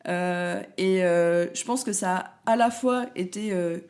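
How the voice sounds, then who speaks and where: A young woman from Paris, a talkative guest in a casual interview who pauses often on fillers. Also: lowish voice, a little mellow. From France, Paris. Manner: chatty, talkative, many fillers and restarts. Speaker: guest, content creator.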